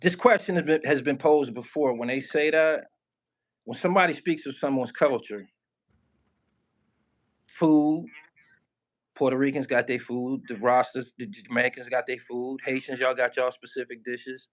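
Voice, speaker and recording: A man interviewing a guest in casual chat, relaxed but challenging, pushing back on what he hears. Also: medium pitch, moderate pace, pauses often. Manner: laid-back, challenging, laughs and pushes back.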